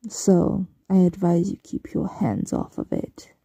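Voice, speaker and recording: Woman acting a dark, breathy, possessive yandere mistress. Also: on the low side, sing-song, dry recording, exaggerated voice. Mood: menacing, smug. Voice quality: breathy, often dropping to a whisper. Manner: teasing, condescending, drawn-out cooing. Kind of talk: ASMR roleplay.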